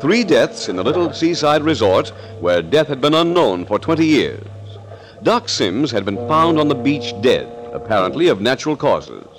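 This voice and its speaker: A man's voice: mid-range voice, big pitch swings, moderate pace, music in the background. A man narrating a 1940s radio thriller in a dramatic, ominous, declamatory style.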